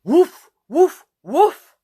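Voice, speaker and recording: A boisterous storybook baby girl, as a woman reader puts her on. She babbles in short, loud exclamations, pitched far up and full of playful energy. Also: very animated, drawn-out words, exaggerated voice. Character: giggly, boisterous baby girl in a picture book.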